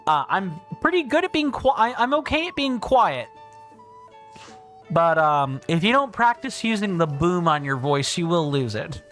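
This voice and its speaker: A man livestreaming a game, chatting casually and reading out viewer comments, with a big booming voice. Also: big pitch swings, normal speed, a bit bright, soft background music. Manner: chatty, thinks aloud, reads viewer comments. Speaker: streamer. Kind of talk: casual gameplay livestream chat.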